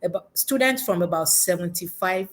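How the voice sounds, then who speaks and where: A woman's voice: lowish voice, normal speed. Reflective woman chatting in an interview. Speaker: education consultant guest. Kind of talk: unscripted conversational interview answers.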